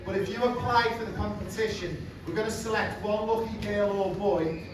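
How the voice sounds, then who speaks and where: A man with a Liverpool accent talks straight to a roomful of parents and kids in a chatty, direct way. He is picked up from a distance, so his voice sounds far off. The room behind him has a strong echo and steady background noise. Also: sits high, normal speed. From England. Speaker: presenter, program founder. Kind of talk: live presentation to parents and kids. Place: large indoor room with audience. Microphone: far room mic.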